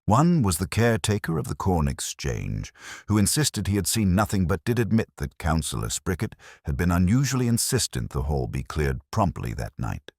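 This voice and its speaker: A man narrating a detective mystery in a measured, wry storytelling manner. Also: deep voice, sing-song, gravelly, darkish tone, dry recording, clean studio sound.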